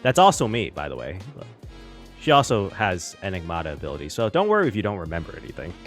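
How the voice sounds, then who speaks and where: A streamer giving casual, excitable and expressive reaction commentary on a game. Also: low-pitched, fast, soft background music. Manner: excitable, giggly, expressive, swearing.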